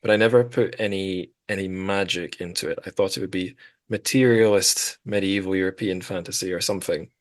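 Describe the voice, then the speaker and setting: Deep-voiced man in casual book chat. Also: steady tone, brisk. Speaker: podcast host. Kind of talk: casual literary discussion.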